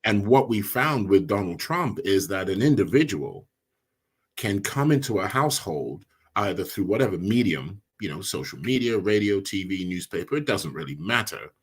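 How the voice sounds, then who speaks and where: Middle-aged immigrant man, very low husky voice, measured and deliberate. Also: very animated. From immigrant, came as a child. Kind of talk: casual discussion.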